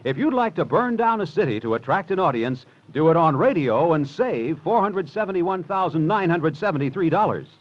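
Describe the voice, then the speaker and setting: A man's voice: on the high side, big pitch swings, moderate pace. A man delivering a scripted promotional spot in vintage radio style. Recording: muffled old radio recording.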